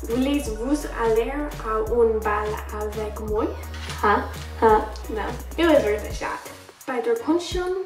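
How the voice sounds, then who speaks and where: A young woman vlogging from her bedroom, giggling as she stumbles through phrases in other languages. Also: on the high side. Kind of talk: casual vlog reading phrases aloud. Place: bedroom, indoors. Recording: echoey room, a bit distant, faint music.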